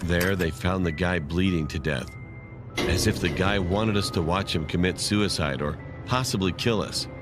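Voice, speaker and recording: A man's voice: low voice, normal speed. A man reading a scripted first-person horror story as narrator, with music behind him. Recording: prominent music under the voice.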